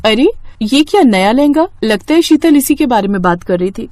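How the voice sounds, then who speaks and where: A woman's voice: a little high, animated, normal speed, a little mellow, no echo, natural voice. A woman voicing a trusting, easily hurt younger sister, a college student, in an earnest, emotional manner for a story cartoon. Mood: puzzled. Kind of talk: Hindi moral-story cartoon dialogue.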